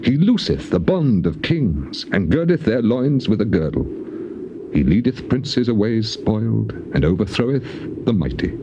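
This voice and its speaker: An actor playing a suffering, defiant righteous man in a dramatized scripture recording, declaiming with passion and weighty pauses. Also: lowish voice, very animated. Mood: awed, grave. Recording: dull, noisy old cassette tape recording.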